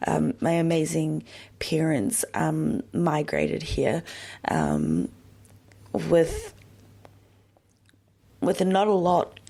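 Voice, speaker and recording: Young woman host, low gravelly voice, takes her time, lots of ums. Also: big pitch swings, mellow. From born in Yemen, raised in New Zealand. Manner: slow, thoughtful, many pauses and fillers. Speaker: podcast host. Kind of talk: reflective personal monologue.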